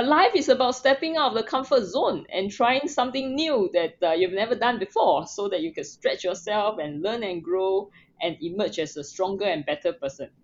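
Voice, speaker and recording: Lively earnest woman on a lo-fi line. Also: fairly high pitch. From Singapore. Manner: earnest, many fillers and restarts. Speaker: podcast guest. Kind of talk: casual interview.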